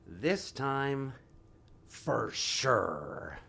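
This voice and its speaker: A man playing an earnest, remorseful father and stage magician in an audio drama, speaking in a halting way. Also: mid-range voice, very animated, gravelly, sharp tone, slightly rough, exaggerated voice. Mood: showy.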